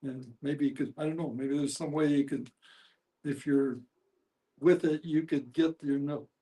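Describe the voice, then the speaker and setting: Man, somewhat high voice, hesitant conversational delivery. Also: steady tone, warm tone, low-quality recording. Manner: hesitant, halting. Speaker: discussion participant. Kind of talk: casual group discussion.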